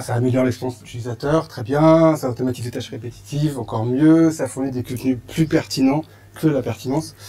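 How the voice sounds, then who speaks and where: A man with a French accent presenting a software module at a meetup, casual and full of fillers and restarts. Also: mid-range voice. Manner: hesitant, many fillers and restarts. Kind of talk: casual conference talk. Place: meetup room with audience.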